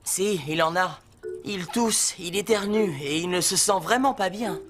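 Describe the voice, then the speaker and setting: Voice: medium pitch, measured pace, fairly bright tone, lightly acted. An actor voicing a kind, patient handyman who explains things reassuringly in a children's cartoon. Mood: friendly. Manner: reassuring, instructive, sprinkles in Spanish words. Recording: music behind the voice.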